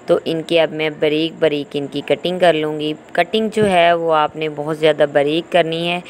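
Low-pitched woman, noisy recording. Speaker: cooking channel host. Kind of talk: informal recipe voice-over. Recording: home recording with clear background noise.

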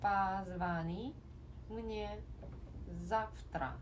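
Slow halting Korean-accented woman. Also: mid-range voice. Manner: careful, halting word-by-word reading. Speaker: ASMR creator. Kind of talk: slow ASMR reading practice. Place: home room at a desk.

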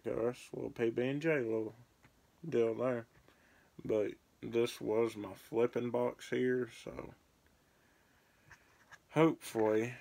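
A man's voice: lowish voice, creaky, background noise. A young man, casually rambling through his fishing baits for a vlog, filmed on a phone in a small bedroom. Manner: rambling, laid-back, listing baits as he handles them. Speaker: fishing vlogger. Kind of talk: casual tackle show-and-tell. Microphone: phone camera at arm's length. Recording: crinkling plastic bait bags behind the voice.

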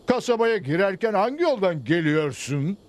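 A man's voice: sits high, very animated, normal speed, very noisy. A man voicing a pompous, dim small-town general in a Turkish cartoon dub, commanding and self-important. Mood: patronizing.

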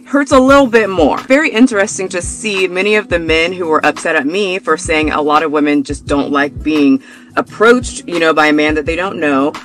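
A man's voice: on the high side, normal speed, a bit bright. A man giving pointed, explanatory social media commentary. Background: music.

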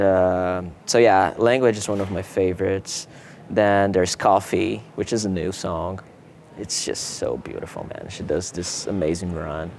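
Deep-voiced Brazilian guitarist, laid-back. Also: male voice, slight background noise. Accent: Brazilian Portuguese. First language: Portuguese. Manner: relaxed, laid-back, many fillers like "you know" and "man". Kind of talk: casual interview answers. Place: seated in an empty theater hall.

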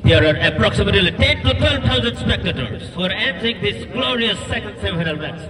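A man with an Assamese accent giving live cricket commentary at an outdoor night match, growing excitable and shouting on big hits. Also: high voice, fast. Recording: distant loudspeaker voice over crowd noise. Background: large crowd.

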